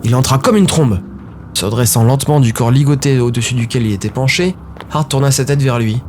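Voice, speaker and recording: A man's voice: medium pitch, brisk, darkish tone, slight background noise. A man narrating a scripted story dramatically, in short, brooding, suspenseful phrases. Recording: music behind the voice.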